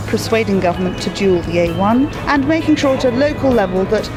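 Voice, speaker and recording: A woman who is a parliamentary candidate, speaking forcefully and emphatically in a campaign video, recorded outdoors. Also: medium pitch, lively intonation, fairly quick. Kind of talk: campaign video, addressing camera. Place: outdoors, village and town. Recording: music bed behind.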